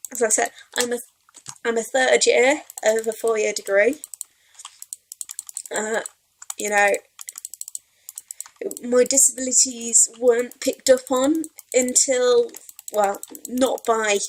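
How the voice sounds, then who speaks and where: A woman's voice: fairly high pitch, a little hiss or hum, poor audio. A young woman from Wales with a Welsh accent touched by Yorkshire, rambling and self-deprecating as she chats over her gameplay. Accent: Welsh, with some Yorkshire creeping in. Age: young adult. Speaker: let's play gamer. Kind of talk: casual rambling gameplay commentary.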